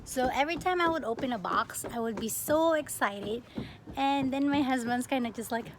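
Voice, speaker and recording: A young woman from the Philippines chatting casually as a plant vlogger, expressive and often breaking off mid-sentence. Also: sits high, normal speed. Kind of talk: casual get-to-know-me question-and-answer chat. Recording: clearly noisy home recording.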